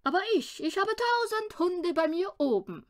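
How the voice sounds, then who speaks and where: Lady doing a bossy little braggy storybook man, loud, high, bouncing all over. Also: very animated, normal speed. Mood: boastful, exclaiming. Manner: loud, pompous, given to pouting and bragging. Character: boastful, bossy, excitable little flying man.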